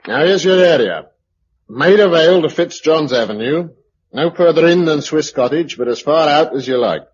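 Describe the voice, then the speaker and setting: A man's voice: a little high, expressive, moderate pace, natural voice. An actor playing a blunt, domineering tough man giving orders in a mid-century British radio drama. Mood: stern, businesslike. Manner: blunt, commanding. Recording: dull, hissy old radio recording.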